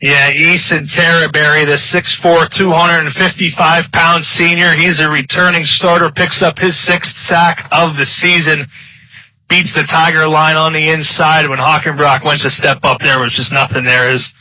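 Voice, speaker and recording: A man's voice: a little high, level tone, moderate pace, sharp tone, no room sound, low-quality recording. A man with an American accent giving color commentary and analysis during a live American football broadcast.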